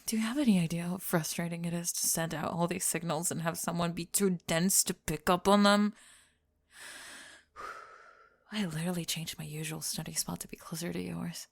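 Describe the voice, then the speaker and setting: Goth bully girl for ASMR roleplay , female performer: prickly, flustered, halting, secretly smitten, husky with some fry. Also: lowish voice, voice pushed down. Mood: flustered, exasperated, then reluctantly confessing. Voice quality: breathy. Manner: tsundere, defensive, halting, backtracking.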